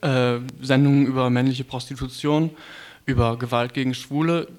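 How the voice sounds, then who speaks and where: A man presenting a radio programme off the cuff, halting often to search for words. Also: mid-range voice, darkish tone. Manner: halting, with frequent hesitations and searching pauses. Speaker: community radio presenter of an editorial team. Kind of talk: unscripted on-air programme presentation. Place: radio studio.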